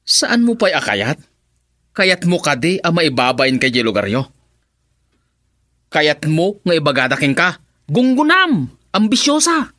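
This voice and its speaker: Radio drama villain: scornful, domineering Ilocano lover, very lively intonation, taunting delivery. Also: male voice, fairly high pitch, measured pace, long pauses, no room sound, studio quality, full character voice. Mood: angry scorn. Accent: Ilocano Filipino. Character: cruel, jealous, domineering young man, scornful lover.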